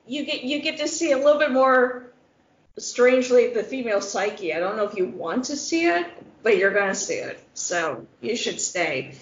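A woman with a Midwestern accent co-hosts a casual podcast, laughing often and acting out voices. Her voice sits high and jumps all over. The sound is roomy and dull. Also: moderate pace. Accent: Midwestern American. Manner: animated, laughing, loud put-on quoted voices, swearing. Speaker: podcast co-host. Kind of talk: casual chat. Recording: echoey lo-fi podcast audio.

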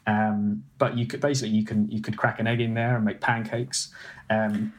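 Relaxed English man explaining bread-making. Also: on the low side, moderate pace. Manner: hesitant, lots of ums and restarts, laughs easily. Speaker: food editor and former baker, guest. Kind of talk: casual conversational explanation. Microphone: video call.

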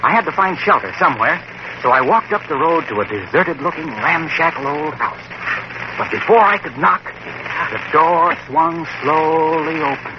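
A man telling a spooky tale with slow dramatic pauses that turns into a product pitch, in a 1940s radio comedy. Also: animated. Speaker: comic announcer pitching a product. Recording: muffled old radio recording with hiss.

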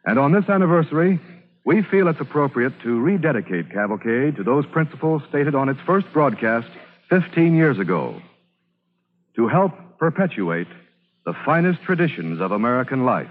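Animated, ceremonious male radio announcer. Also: medium pitch, moderate pace. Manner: polished, ceremonious. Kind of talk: formal 1950s radio announcing. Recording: muffled old radio, faint music.

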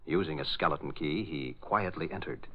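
A man's voice: extremely low pitch, moderate pace. A man narrating a radio serial in a dramatic 1940s style. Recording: muffled old radio recording. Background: music.

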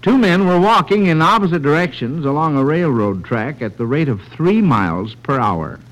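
A man's voice: fairly high pitch, animated, moderate pace, fairly bright tone, rough recording. An American man hosting a quiz show in the scripted style of old radio, reading out questions on a vintage 1940s broadcast.

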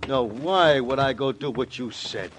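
A mid-pitched American tough guy played by a man, crooked and sardonic, talks in slangy, insinuating jabs. He sounds like a villain in an old radio crime drama, over faint noise. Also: unhurried, natural voice. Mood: scornful denial. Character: sneering, crooked male tough guy, a cornered killer. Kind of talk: 1940s-50s radio crime drama acting. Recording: noisy vintage radio recording.

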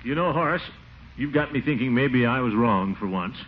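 Actor as a flustered, fruity-voiced baritone town commissioner. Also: male voice, expressive, moderate pace, close to an everyday voice. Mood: coming around. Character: flustered middle-aged town commissioner, indignant, defensive uncle. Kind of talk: old-time radio comedy acting. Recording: muffled, noisy vintage radio recording.

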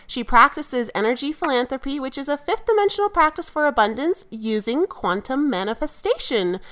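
A woman's voice: high-pitched, expressive, normal speed, fairly bright tone. A woman co-hosting a podcast, introducing the episode and her guest in a bubbly, upbeat way and laughing easily. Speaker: podcast co-host.

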